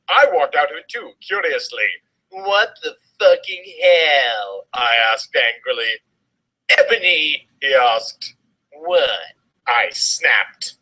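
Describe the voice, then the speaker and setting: A man's voice: mid-range voice, pushed and bright, husky, rough recording. A man giving a comic dramatic reading of fan fiction in a theatrical, exaggerated manner.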